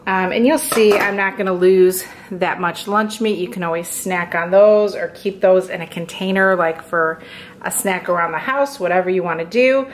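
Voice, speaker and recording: A woman's voice: on the low side, normal speed, slight background noise. A woman in her thirties, a mother, casually talking through a lunch prep at her kitchen counter, chatty and easygoing. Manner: chatty, relaxed, fillers like "go ahead" and "like". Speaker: home cook, meal prep channel host. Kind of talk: casual cooking demonstration voice-over.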